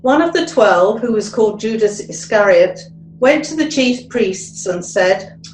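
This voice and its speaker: A woman giving a solemn, measured scripture narration as a lay reader, recorded in an echoey room. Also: medium pitch, lively intonation, a little hiss or hum.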